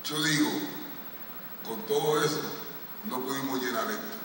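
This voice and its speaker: A man's voice: medium pitch, measured pace, noisy background. A man fervently exhorting a congregation with a handheld mic in a large church sanctuary. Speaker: church leader addressing congregation. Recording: echoey hall from far off with crowd.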